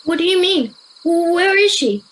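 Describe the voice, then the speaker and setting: A woman's voice: extremely high pitch, expressive, normal speed, slight background noise, low-quality recording. A girl of ten or eleven playing a sensible, caring boarding-school pupil in an amateur radio play. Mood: concerned, reassuring. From Australia. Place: at home over a video call.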